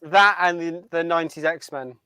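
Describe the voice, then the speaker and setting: A man co-hosting a podcast, chatting informally in a halting, casual way. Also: fairly high pitch, sharp tone, clean tone, dry recording. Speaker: podcast co-host. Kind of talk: casual chat.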